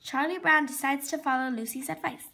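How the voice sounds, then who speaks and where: A very high, bright female voice reads a children's storybook aloud. Her delivery is expressive, with words sometimes stumbled over and then corrected. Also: fairly quick, creaky. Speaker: storybook reader.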